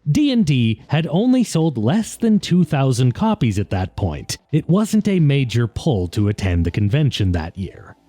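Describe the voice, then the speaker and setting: A man's voice: medium pitch, very animated, normal speed, hardly any pauses, warm tone, no room sound. A man narrating a scripted video essay in a conversational, wry way, as the host of the show.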